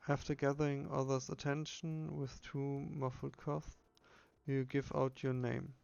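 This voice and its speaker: Flat-toned man narrating, slightly muffled recording. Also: medium pitch, unhurried, vocal fry, smooth. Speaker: solo player reading game text aloud. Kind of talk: scripted narration of a text adventure game.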